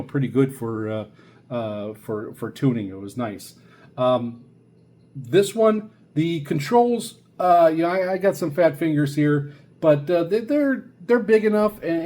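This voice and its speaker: An older man giving a casual, unscripted product review, halting and rambling as he repeats words. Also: mid-range voice, dark tone. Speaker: radio reviewer presenting a video series.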